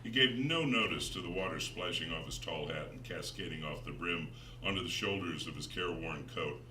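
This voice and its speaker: A man's voice: deep voice, brisk. A man giving a dramatic literary narration, reading from a gothic novel as its author. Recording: roomy, distant, noisy recording.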